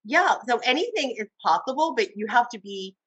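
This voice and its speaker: A woman television host recounts her career in an interview, speaking with enthusiasm and animated swings in tone. The sound is dry and close to the mic, with no room around it. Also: on the high side, a little fast, slightly rough, dry recording. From Cuba. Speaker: TV host guest. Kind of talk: interview conversation.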